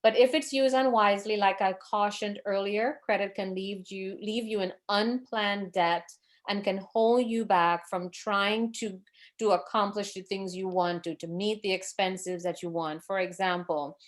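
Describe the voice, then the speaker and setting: A woman's voice: mid-range voice, fairly even intonation, a little slow. Woman from Trinidad presenting a webinar. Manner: earnest, advising, with fillers and self-corrections. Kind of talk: financial education webinar.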